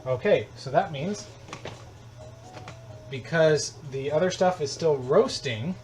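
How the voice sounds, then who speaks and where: A man's voice: fairly high pitch, slow, a little mellow, soft background music. A man from the United States hosting a live cooking stream, talking casually and rambling with joking asides as he cooks in a home kitchen. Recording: clear kitchen sizzle and frying noise.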